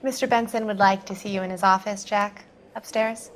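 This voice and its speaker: An actress playing an obliging office secretary in a noir video game, businesslike and familiar. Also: female voice, medium pitch, fairly even intonation, brisk, a little hiss or hum, natural voice. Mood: friendly, businesslike.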